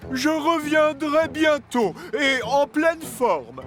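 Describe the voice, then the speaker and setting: A man's voice: very high voice, animated, takes it slowly, fairly bright tone, heavily acted. A man voicing a good-natured, sensible excavator in a children's cartoon, earnest in manner. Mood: friendly, earnest. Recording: music behind the voice.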